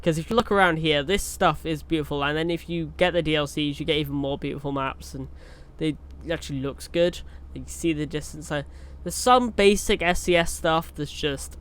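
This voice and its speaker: A man's voice: on the high side, fairly quick, few pauses, a bit bright, clear, resonant tone. A young man with a cold, chatting casually over a truck driving game, rambling with fillers and restarts. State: has a cold. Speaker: gaming YouTuber. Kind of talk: casual gameplay commentary.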